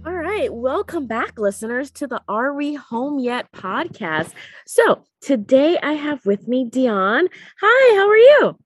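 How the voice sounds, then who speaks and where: A woman's voice: high-pitched, very animated, unhurried, no echo. A woman hosting a podcast, interviewing in a casual, chatty and informal way.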